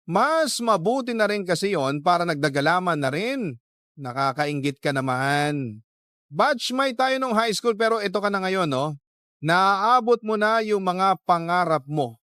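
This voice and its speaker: A man's voice: sits high, lively intonation, unhurried, a bit bright, clear, resonant tone, no echo, studio quality. A man voicing a chatty, nosy young female friend in a radio drama, with a probing, exclaiming manner. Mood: curious, admiring.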